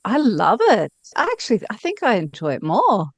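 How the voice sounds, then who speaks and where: A woman talking casually and reflectively as an interview guest, haltingly thinking aloud. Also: mid-range voice, huge pitch swings, breathy edge, no echo.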